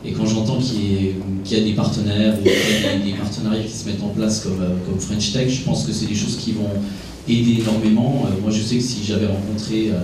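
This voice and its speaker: A man's voice: on the low side, little pitch movement, a little fast. A man giving an informal testimonial as an entrepreneur. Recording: very echoey room, distant mic, noisy.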